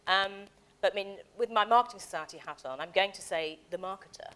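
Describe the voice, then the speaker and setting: A woman panelist answering a question, thinking aloud with halting starts and repeats. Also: medium pitch, fairly bright tone.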